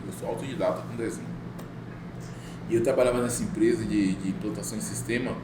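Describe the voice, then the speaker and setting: A middle-aged man from Bahia, Brazil, a guest tattoo artist, telling his story in a casual podcast and laughing often. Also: mid-range voice. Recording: echoey room with noise.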